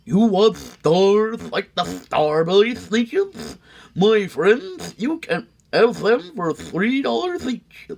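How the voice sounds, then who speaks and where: A high, loud cartoon cat with a slushy lisp. He is a showy swindling salesman who wheedles his customers with boastful patter. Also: creaky, full character voice. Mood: salesman's pitch. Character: fast-talking cartoon cat salesman, male, smooth swindler.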